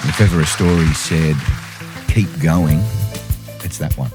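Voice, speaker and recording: A man's voice: very deep voice, fairly even intonation, unhurried, music in the background. A man hosting a reflective discussion, repeating phrases for emphasis. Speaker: podcast host.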